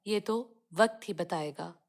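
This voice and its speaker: A woman's voice: medium pitch, measured pace, vocal fry. Woman as a preachy village constable. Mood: advising. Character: opinionated village constable, moralizing man.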